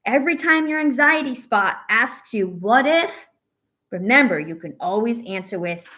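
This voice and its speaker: A woman reading a picture book aloud to young children as a teacher, pausing to ask questions and offer encouragement and reassurance. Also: mid-range voice, sharp tone. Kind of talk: read-aloud story with asides to young children.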